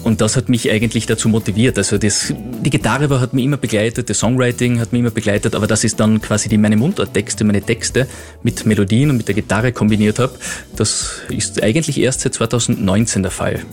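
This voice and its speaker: A young man from Carinthia speaks with an Austrian accent as a guest on a radio interview. His voice is fairly low and his delivery is casual and conversational. Music plays softly behind him. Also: steady tone, fairly quick. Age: young adult. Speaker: singer-songwriter guest. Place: radio studio.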